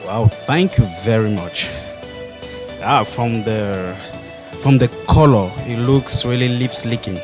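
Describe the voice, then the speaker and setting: Male interview guest, French-tinged Cameroonian accent, polite and conversational, medium pitch, music in the background. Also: slow. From Cameroon, raised in Douala. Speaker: guest, head teacher and actor.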